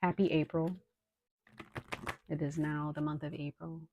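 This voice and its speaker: A woman reading tarot for her viewers, slightly hoarse from a cold, unhurried and reassuring, with frequent ums. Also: low voice, little pitch movement, dull audio, background noise. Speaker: tarot reader.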